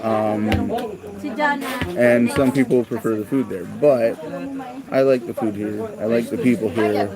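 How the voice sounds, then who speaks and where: A man in his thirties chatting casually as a vlogger, unhurried and hesitant with lots of ums and uhs. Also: on the low side, fairly even intonation. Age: 30s. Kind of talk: casual chat about living costs abroad. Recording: loud crowd chatter in a large hall.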